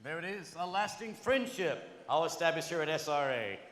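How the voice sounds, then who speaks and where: A man's voice: fairly high pitch, lively intonation, normal speed, fairly bright tone. A man hosting a conference lunch panel, with upbeat and playful emcee patter. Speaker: event host. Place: large banquet hall. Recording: echoey hall with long reverb, faint noise.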